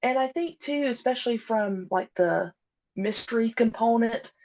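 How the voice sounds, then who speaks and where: A woman in her thirties talking casually as an interview guest, with hesitant, halting phrasing. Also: on the high side, a little mellow, highs cut off. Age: 30s. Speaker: podcast guest, audio drama creator.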